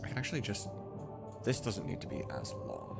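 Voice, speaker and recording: Male livestreamer, lowish register, loose informal delivery with some swearing, talking through his work over music. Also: fairly quick, creaky. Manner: informal, swearing, thinking aloud. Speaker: streamer and mod voice director. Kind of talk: casual livestream chat while working. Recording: music behind the voice.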